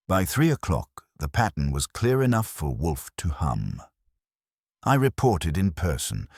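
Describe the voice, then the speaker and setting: A man's voice: very deep voice, no room sound. A man reading scripted first-person detective fiction as a narrator, with a dry, wry delivery. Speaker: audiobook narrator.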